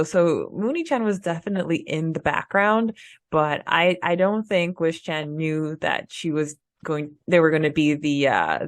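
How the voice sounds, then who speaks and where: Low-pitched woman co-hosting a fan podcast. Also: no room sound, clean studio sound. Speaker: podcast co-host. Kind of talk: casual fan discussion podcast.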